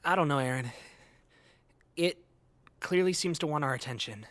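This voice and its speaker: A man's voice: a little high, moderate pace, fairly bright tone, no echo, natural voice. A young man acting as an eager, easily miffed gamer in a naturalistic audio drama. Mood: uneasy.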